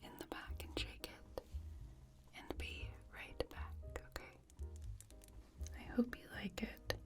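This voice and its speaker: A woman doing a soft-spoken, whispered ASMR roleplay as an attentive bartender, gently addressing one listener up close. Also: slow. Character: soft-spoken, attentive female bartender at a high-end bar. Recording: close whispered voice over clear music. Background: music.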